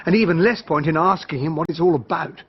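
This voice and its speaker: An actor playing an amiable, baffled English army captain, polite and plain-spoken, in a radio drama. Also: male voice, fairly high pitch, fast talker, breathy edge. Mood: resigned. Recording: slightly dull radio drama recording.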